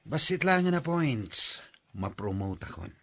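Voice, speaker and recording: A man's voice: medium pitch, big pitch swings, a little slow, fairly bright tone, full character voice. An actor with an Ilocano Filipino accent playing an ambitious, cheerful salesman and husband in a radio drama. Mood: hopeful. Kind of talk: acted radio drama dialogue.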